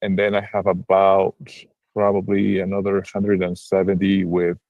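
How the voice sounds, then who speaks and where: A man's voice: low-pitched, monotone, normal speed, dry recording, narrow, tinny audio. A man from Argentina, a real estate broker, talking on a livestream video call, hesitant with many restarts and fillers. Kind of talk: casual livestream call-in chat.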